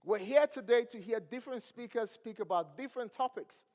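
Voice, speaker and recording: Nigerian-accented male motivational speaker, high voice, crowd questions, ringing hall reverb. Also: a little fast, a little mellow. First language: not English. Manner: preachy, asks the crowd questions. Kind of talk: motivational stage speech. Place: large event hall.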